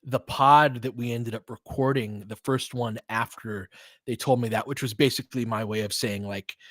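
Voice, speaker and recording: Young male fan play-by-play caller, mid-range voice, excitable and laughing, dry close sound. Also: mid-range voice, no echo. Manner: excitable, laughs often, quick asides. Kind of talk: live play-by-play commentary and chat.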